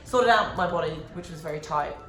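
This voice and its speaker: A woman's voice: fairly low pitch, brisk. A woman chatting casually at a massage clinic, with lots of "like" fillers. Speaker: vlogger. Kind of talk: casual vlog chat. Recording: echoey clinic room.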